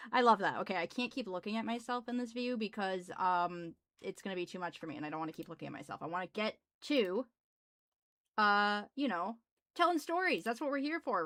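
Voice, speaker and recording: A young woman with a gruff Midwestern accent, chatting casually and thanking viewers on a live stream from her small home office. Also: medium pitch, sharp tone, no echo. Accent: Midwestern American. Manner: bubbly, thanking viewers, laughs often.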